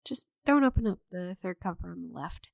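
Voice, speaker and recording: A woman playing a friendly, chatty, slightly scatterbrained forest witch in an ASMR roleplay audio drama, with a gentle, theatrical manner. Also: fairly low pitch, expressive, fairly quick, gravelly, dry recording, clean studio sound. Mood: cheerful welcoming.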